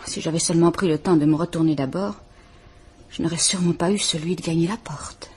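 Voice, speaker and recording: An actress playing a frightened, formal estranged wife who is visiting a police inspector, speaking in a restrained, measured way. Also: female voice, on the low side, fairly even intonation, darkish tone, slightly rough. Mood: fearful, measured. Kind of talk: 1950s French radio drama acting.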